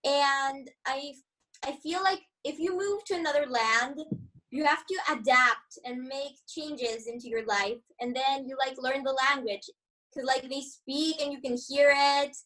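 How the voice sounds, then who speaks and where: A teenage girl whose first language is Greek speaks as a guest in a hesitant, halting way. Her voice is high, and she sounds set back from the mic. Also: bright, forceful tone. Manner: hesitant, lots of "like". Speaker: young guest. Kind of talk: casual chat. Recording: distant from the mic.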